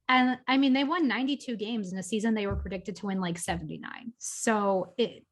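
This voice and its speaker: Woman on a sports podcast, chatty and opinionated, lots of likes and ums, mid-pitched voice. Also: a little fast. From Boston area. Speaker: podcast co-host. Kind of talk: casual sports chat.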